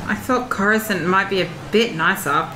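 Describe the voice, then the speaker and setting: A woman's voice: medium pitch, normal speed, lightly acted. A woman playing a sassy, curious young rebel pilot in an audio drama, sardonic and plain-spoken. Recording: echoey, loud background noise.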